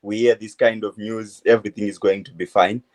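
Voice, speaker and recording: A man in his twenties from Zimbabwe, a medical student answering interview questions in a halting way, full of uh fillers. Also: lowish voice, little pitch movement, a little mellow. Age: 20s. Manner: hesitant, many uh fillers, halting phrases. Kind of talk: phone interview.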